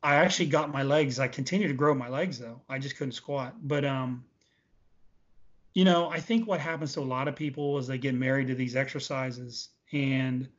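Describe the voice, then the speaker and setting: A man's voice: medium pitch, fairly even intonation, normal speed. A man in his late forties giving casual, emphatic interview answers, repeating points for stress. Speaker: interview guest, veteran bodybuilder. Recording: dull, lo-fi audio.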